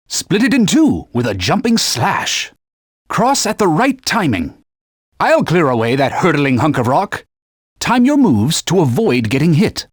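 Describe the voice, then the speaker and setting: An actor playing a stern, proud talking sword who guides a hero with formal, old-fashioned speech in a video game. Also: male voice, fairly high pitch, husky, dry recording, studio quality, exaggerated voice. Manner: formal, stern, archaic phrasing. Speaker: sword companion giving gameplay hints. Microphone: dry studio booth.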